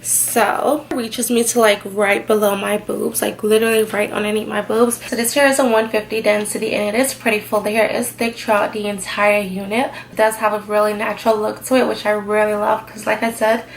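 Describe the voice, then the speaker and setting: A woman's voice: mid-range voice, flat delivery, a little fast, hardly any pauses, a little hiss or hum, poor audio. A young woman with a stuffed-up nose from a cold, chatting casually as she reviews a wig and filling her talk with "like". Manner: chatty, filler-heavy, lots of "like". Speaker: hair vlogger.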